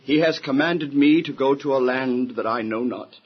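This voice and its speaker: An actor playing an earnest, obedient biblical patriarch and husband in an audio drama, with a husky, declamatory delivery. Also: male voice, medium pitch, normal speed, close to an everyday voice. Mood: solemn resolve. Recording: dull lo-fi radio drama recording.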